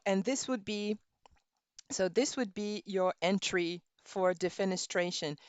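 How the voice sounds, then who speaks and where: A middle-aged woman whose first language is Norwegian runs a relaxed live lesson with a fairly low voice and a dry, close sound. She chats easily, spells words out one letter at a time and scatters ums through her talk. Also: no echo. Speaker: language teacher streaming a class. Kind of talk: casual online lesson, thinking aloud while browsing.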